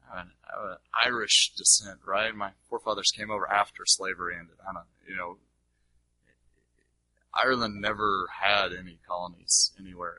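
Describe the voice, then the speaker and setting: A man's voice: lowish voice, pushed and bright, dry recording, poor audio. A man teaching in a question-and-answer session, speaking in an informal, discursive way with rhetorical questions.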